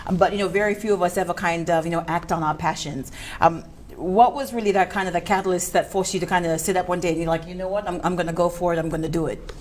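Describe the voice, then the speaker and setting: A woman's voice: lowish voice, fairly even intonation, quick pace, a little mellow, slight background noise. A young woman co-hosting an interview in a chatty, informal way. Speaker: interview co-host. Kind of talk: casual chat interview.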